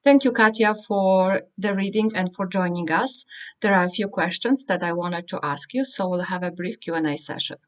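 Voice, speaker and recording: A woman's voice: mid-range voice, normal speed, muffled recording. A woman hosting a book event, reading prepared interview questions in a formal manner.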